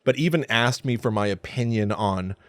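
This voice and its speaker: A man speaks as a podcast co-host in a casual, conversational style. His voice sits fairly low, and the sound is dry with little room echo. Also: normal speed.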